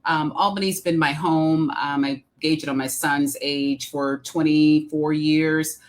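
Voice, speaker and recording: A middle-aged woman from Detroit with a Midwestern accent, speaking as an interview guest in a measured, reflective way. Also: sits low, fairly even intonation. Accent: Midwestern American. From Detroit, Michigan. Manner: measured, reflective, many um fillers. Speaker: guest, executive coach. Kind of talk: interview conversation.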